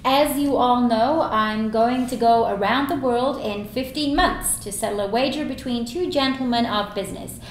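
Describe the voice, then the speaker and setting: A woman's voice: a little high, normal speed, few pauses, close to an everyday voice. An actress playing a determined, hopeful young immigrant mother with earnest delivery at a screenplay table read. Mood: declaiming. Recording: echoey room, faint noise.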